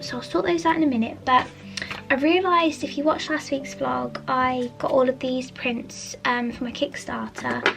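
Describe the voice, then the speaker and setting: A woman vlogging casually from her studio, croaky from a chest infection, chatty with plenty of ums and soft asides. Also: high voice. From England. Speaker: vlogger, artist. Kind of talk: casual studio vlog chat. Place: art studio indoors.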